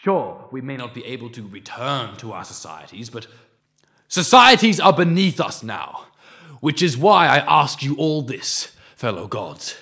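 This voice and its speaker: A man's voice: mid-range voice, very animated, measured pace, pushed and bright, full character voice. A man playing a zealous, commanding mantis-man cult leader in an audio drama, oratorical and imperious. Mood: grandiose preaching.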